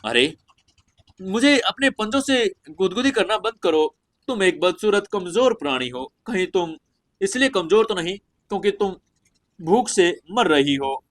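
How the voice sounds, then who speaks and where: A man's voice: high voice, expressive, a little slow, pitched above the performer's own voice. A man voicing a cocky young male fantasy hero, brash and sarcastic, mocking his foes in an audio story.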